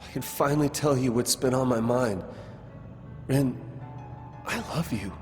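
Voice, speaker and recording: A man's voice: mid-range voice, a little slow, a little mellow, lightly acted. An actor playing an earnest, casual, slightly goofy man in love in a video game cutscene. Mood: earnest, urgent. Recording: music behind the voice.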